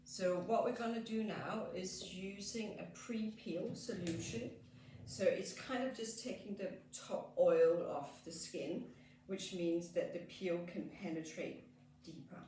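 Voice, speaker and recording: A woman with a Danish accent, a skin therapist gently explaining a treatment as she works, with frequent fillers. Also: fairly low pitch, a little slow, far from the mic, noisy background. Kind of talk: treatment demonstration. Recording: echoey room, off mic.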